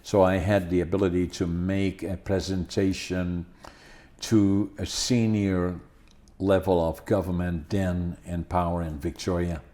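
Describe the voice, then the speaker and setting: An older man with a Dutch accent whose English is his second language, speaking in a low, mellow voice. He pauses often and restarts his phrases as he talks, in an unhurried podcast conversation. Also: flat delivery. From Netherlands. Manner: halting, many restarts and fillers. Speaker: podcast host. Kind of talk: casual conversation.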